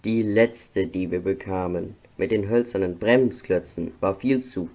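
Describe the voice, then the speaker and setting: A man's voice: deep voice, a little slow, darkish tone. A man voicing a proud, grumbling, self-important steam locomotive in a pompous, complaining way for a cartoon fan dub. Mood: indignant.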